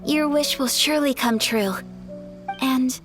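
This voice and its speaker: A woman's voice: high-pitched, a little slow. A woman voicing a graceful young noblewoman who speaks politely and formally. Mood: gentle. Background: game music.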